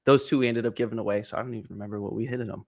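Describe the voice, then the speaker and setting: A man in his twenties, excitable and energetic, chatting casually about card breaks with lots of fillers. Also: fairly low pitch, rapid-fire, gravelly. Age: 20s. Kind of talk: casual chat on a stream.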